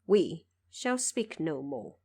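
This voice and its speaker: A woman's voice: low voice, sing-song, takes it slowly. A woman narrator reading scripted story narration for an audio drama.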